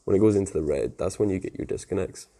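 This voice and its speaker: A man's voice: deep voice, quick pace, warm tone. A man giving a casual technical tutorial in an informal, plain-spoken, conversational way. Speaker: technical presenter explaining network setup.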